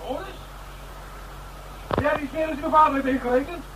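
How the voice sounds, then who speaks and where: An actor playing a cornered, defiant young criminal, jeering and agitated in a radio crime drama. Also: male voice, very high-pitched, fairly even intonation, a little fast, fairly bright tone. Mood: wary, hostile. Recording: noisy old radio drama recording.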